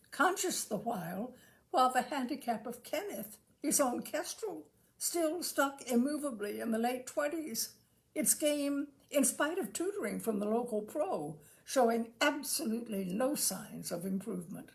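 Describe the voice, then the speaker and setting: A woman with a fairly high voice reads comic prose aloud. Her intonation swoops expressively and often settles into a gravelly creak. The delivery is theatrical, with deliberate phrasing and pauses for effect. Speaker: reader of literary humour. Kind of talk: expressive reading of a comic essay.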